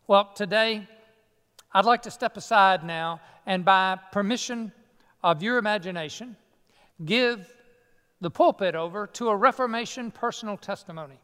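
High-voiced pastor in a ringing church. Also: male voice, normal speed, pushed and bright, clean tone, big-room sound. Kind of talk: sermon. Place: church sanctuary near Atlanta.

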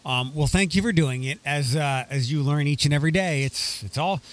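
A man's voice: a little high, a little fast. A man chatting casually, teasing with dry jokes. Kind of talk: casual chat.

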